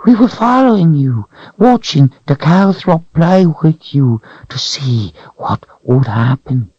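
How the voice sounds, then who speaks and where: A man's voice: lively intonation, slow, mellow, slightly rough, no room sound, dull audio, full character voice. A man voicing a cringing, hairless creature that whines, hisses and pleads in broken speech, in a storybook reading. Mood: whining. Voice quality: shrill whining, broken speech. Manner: whimpering, pleading, hissing. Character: cringing hairless creature, sly, whiny, grasping.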